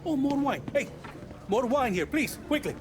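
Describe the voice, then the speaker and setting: An actor playing an eager-to-please Italian restaurateur with an Italian accent and a fawning, excitable manner in a crime game. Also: male voice, extremely high pitch, normal speed, background noise. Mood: excited welcome. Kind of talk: cinematic crime game acting. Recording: voice over background music.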